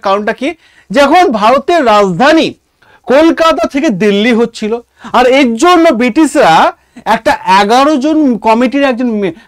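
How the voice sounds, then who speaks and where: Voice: sits high, dry recording, studio quality. A teacher with an Indian Bengali accent giving an online exam lesson, explaining emphatically and often checking understanding with short questions. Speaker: exam-prep history teacher.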